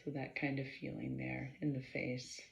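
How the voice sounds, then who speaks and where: A woman leading a guided meditation in a measured, halting way, pausing between short phrases and often clearing her throat. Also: rumbling low, monotone. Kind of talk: slow guided meditation instruction. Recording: roomy, slightly dull sound.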